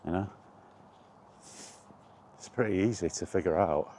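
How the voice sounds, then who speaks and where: A man's voice: low voice, measured pace, warm tone, slight background noise. A British man giving a rambling, casual vlog chat in a blunt, opinionated manner. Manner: opinionated, blunt, mildly sweary. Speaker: video blogger.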